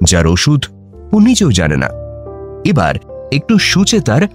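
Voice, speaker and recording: A male narrator tells a scripted story in a playful, dramatic way. His mid-pitched voice swoops widely, with music underneath. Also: huge pitch swings, a little slow. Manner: playful, addresses listeners directly.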